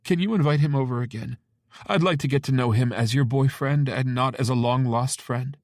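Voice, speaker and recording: A man voicing a flustered middle-aged mother who asks breathless, rapid questions. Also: mid-range voice, darkish tone, dry recording, clean studio sound, voice pushed up, lightly acted.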